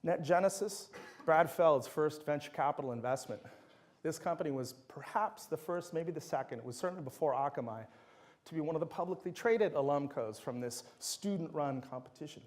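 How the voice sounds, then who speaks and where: A man's voice: a little high, a little fast. A middle-aged man, a native Dutch speaker, giving an enthusiastic university lecture full of anecdotes. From Netherlands.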